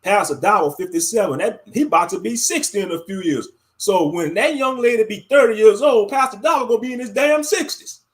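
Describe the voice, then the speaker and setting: A man from Louisiana with the accent to match hosts a casual livestream. His voice sits a little high for a man. He talks colloquially, commenting loosely and repeating phrases to drive them home. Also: fairly quick, few pauses, husky. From Louisiana, United States. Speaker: livestream host.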